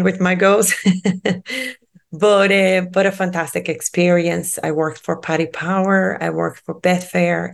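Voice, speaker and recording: Gravelly-voiced woman. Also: fairly low pitch. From Brazil. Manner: chatty and storytelling, with fillers like "you know" and "whatnot". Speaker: guest, sustainability strategist. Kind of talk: conversational podcast interview.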